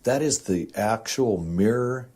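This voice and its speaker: Low-voiced, husky man hosting informally. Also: slow, dark tone. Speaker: host of an amateur audio show. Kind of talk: informal explanatory monologue to listeners.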